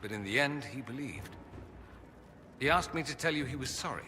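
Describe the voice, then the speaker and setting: A witty, urbane young nobleman mage from a fantasy game. His voice is bright and forceful, with wry, theatrical phrasing and crisp wording. It rings in a long hall reverb over clear ambient noise. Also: mid-range voice, animated, moderate pace. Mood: subdued. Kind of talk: dramatic video game dialogue.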